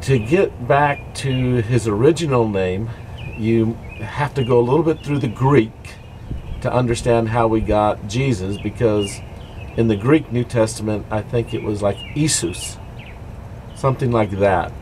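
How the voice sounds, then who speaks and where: Slow, halting middle-aged man. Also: mid-range voice, darkish tone. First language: English. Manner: halting, explanatory. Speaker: devotional vlogger. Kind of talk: informal devotional talk to camera. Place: dark greenhouse. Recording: noisy amateur recording with background ambience.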